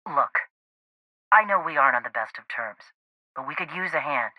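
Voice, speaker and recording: An actress playing a tough, gruff female rebel leader in a video game, with a blunt, salty, commanding manner. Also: low-pitched, expressive, normal speed, no room sound, muffled recording. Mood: grudging, weary.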